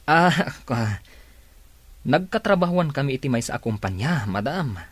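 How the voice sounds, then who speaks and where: An actor playing an excitable, worried young man in a radio drama, with an Ilocano Filipino accent and an exclamatory delivery. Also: mid-range voice, normal speed, slightly rough, dry recording. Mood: surprised. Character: excitable, worried young male friend of an injured surfer.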